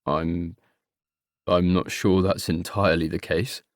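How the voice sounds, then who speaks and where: A man reading an audiobook and voicing a meek, apologetic young man, nearly thirty, who speaks quietly and with a low voice. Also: flat delivery, measured pace, no echo, studio quality. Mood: subdued.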